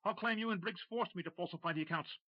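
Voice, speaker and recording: A man's voice: high voice, fast, dull audio, pitched above the performer's own voice. A man voicing a panicky, desperate male accountant who pleads near-hysterically. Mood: frantic pleading.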